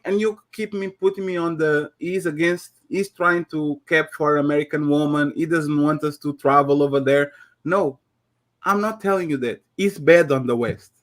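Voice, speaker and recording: A man with a Portuguese accent makes his case in a heated, casual debate. His voice sits on the higher side for a man. He swears freely and stumbles over restarts as he pushes his point. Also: a bit bright.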